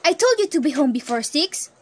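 A woman's voice: high voice, sing-song, fairly quick. A woman playing a stern but caring mother of a teenager in an amateur radio drama. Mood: scolding.

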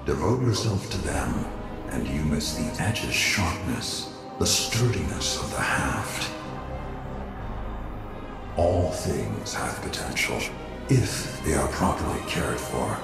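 Stern, weary veteran warrior mentor for a game; gravelly, swinging, admonishing delivery. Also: male voice, extremely low pitch, big pitch swings, exaggerated voice. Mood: stern, reflective. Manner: deliberate, admonishing. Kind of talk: dramatic video game acting. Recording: echoing, loud music underneath.

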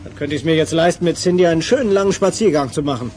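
An actor playing a self-assured crime-fighting investigator in an audio drama, probing and dryly ironic. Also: male voice, fairly high pitch, fast, darkish tone, soft background music, natural voice. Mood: determined. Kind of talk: German audio drama acting.

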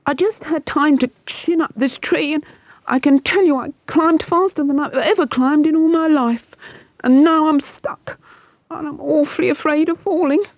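Young boy, terrified and whimpering, read by a woman, high and pleading, for a storybook reading. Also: moderate pace, darkish tone, no room sound, rough recording. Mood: frightened pleading. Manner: pleading, panicky, close to tears. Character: frightened young boy stuck up a tree.

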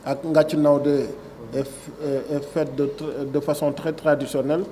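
A man in his forties with a Senegalese French accent delivers a speech into a table microphone in a conference room. His voice sits a little higher than most men's. He shifts from reading prepared text to speaking off the cuff, pausing with fillers and restarting his sentences, over a faint background hiss. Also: level tone, dark tone. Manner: reads prepared text, then digresses with many fillers and restarts. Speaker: agency director giving a speech. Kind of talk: formal speech at a signing ceremony.

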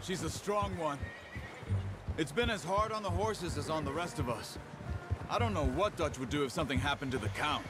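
A man playing a calm, stoic outlaw hunter in a Western video game, speaking in terse, measured phrases. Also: on the high side, music in the background, lightly acted. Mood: steady.

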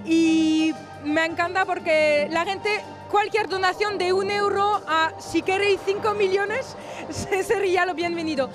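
A woman's voice: very high voice, fairly even intonation, unhurried, over music. A middle-aged French woman speaking non-native Spanish with a French accent, enthusiastic, interviewed at a handheld mic in a crowded gallery. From France. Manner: enthusiastic, slightly broken Spanish. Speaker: charity president. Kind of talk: street interview. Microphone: handheld reporter mic.

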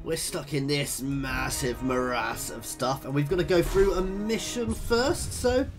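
A man in his thirties commentating a strategy game, husky and tired from a cold, chatty and thinking aloud with lots of ums. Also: a little high, unhurried. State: hoarse with a cold. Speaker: gaming video host. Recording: voice over game music.

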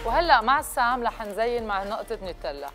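A woman's voice: on the high side, animated, fast talker. Lebanese woman hosting cooking, over music. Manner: mixes English words into speech. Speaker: cooking show host. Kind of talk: presenting a recipe to camera. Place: kitchen set, indoors.

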